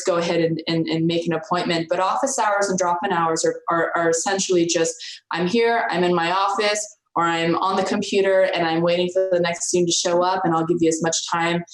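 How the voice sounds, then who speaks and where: A woman college instructor talking informally to students, earnest and encouraging, often repeating words as she restarts. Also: on the low side. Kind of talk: informal talk to students about office hours. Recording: roomy echo.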